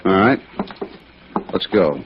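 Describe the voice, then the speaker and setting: A man playing a seasoned, dutiful police inspector in a vintage radio drama, measured and plain-spoken. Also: low voice, animated. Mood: businesslike. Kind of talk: 1950s radio drama acting. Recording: dull, noisy vintage radio recording.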